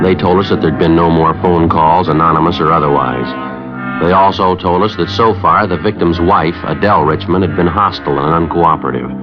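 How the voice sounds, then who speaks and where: Low, deadpan radio detective played by a man. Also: close to an everyday voice. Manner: terse, matter-of-fact, deadpan. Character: stoic male police detective narrating his case. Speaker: lead actor and narrator of a police radio drama. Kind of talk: 1950s radio acting, clipped scripted narration. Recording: muffled vintage 1950s radio recording with music.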